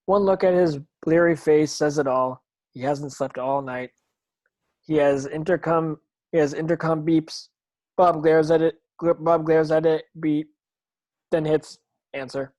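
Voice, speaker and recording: A man's voice: fairly high pitch, darkish tone. Man narrating a script, stumbling a bit. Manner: stumbling over words, rereading. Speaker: narrator reading stage directions. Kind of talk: scripted narration, amateur table read.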